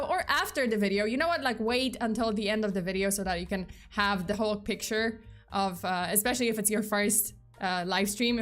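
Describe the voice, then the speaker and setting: A young woman with a fairly high voice chats with her live-stream viewers in a loose, giggly way. She speaks with plenty of fillers and false starts. Soft music plays quietly behind her. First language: Polish. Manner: chatty, giggly, many fillers and restarts. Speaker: VTuber streamer.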